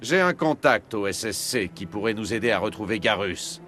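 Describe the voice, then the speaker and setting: An actor playing an indignant human ambassador in a French video game, forceful and official. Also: male voice, on the low side, lively intonation, normal speed, soft background music. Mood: stern.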